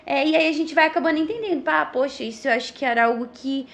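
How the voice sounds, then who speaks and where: A thirty-year-old Brazilian woman explaining things earnestly as an interview guest, pausing often and checking in with tag questions. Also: sits high, a bit bright. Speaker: podcast guest, missionary.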